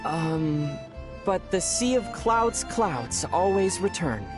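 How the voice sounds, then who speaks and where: A man playing a young adventurer hero in a video game, with a youthful voice and a measured, thoughtful, gently wry manner. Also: sits high, big pitch swings, heavily acted. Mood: musing. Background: music.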